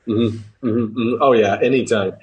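A man's voice: medium pitch, fairly even intonation, a little slow, darkish tone. An American man commenting casually on basketball, opinionated and repeating phrases for emphasis. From New England, United States. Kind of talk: casual sports talk.

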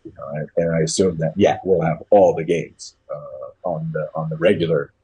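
American male basketball pundit, low register, very rough-edged husky voice, opinionated, conversational. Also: steady tone, normal speed, mellow. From New England, United States. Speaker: basketball commentator. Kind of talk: casual sports talk.

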